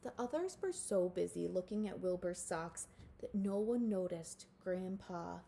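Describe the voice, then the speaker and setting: Female storybook reader: unhurried, mellow, slightly creaky, expressive with chatty asides, faint background noise. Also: fairly low pitch. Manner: animated, with asides to listeners. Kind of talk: expressive read-aloud for children.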